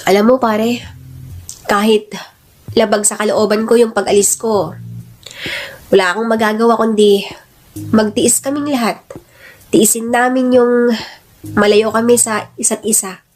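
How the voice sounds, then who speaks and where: A woman's voice: a little high, steady tone, unhurried, long pauses, a little mellow, poor audio. Woman voicing a resolute, burdened Filipino husband. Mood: resigned, determined. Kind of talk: radio-style drama dialogue.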